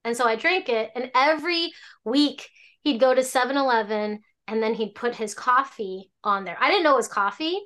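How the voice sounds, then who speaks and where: A woman's voice: fairly high pitch, normal speed, a bit bright. A woman in her forties, born in Hong Kong and raised in Alaska, chatting as a guest about her life in a rambling, casual way with many restarts. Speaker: tea shop owner, guest. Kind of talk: casual interview storytelling.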